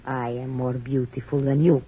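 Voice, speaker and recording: An actress playing a jealous, haughty high priestess, curt and menacing. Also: female voice, little pitch movement, mellow, slightly rough, lo-fi sound. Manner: slow, curt, hostile. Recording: faintly noisy old radio recording.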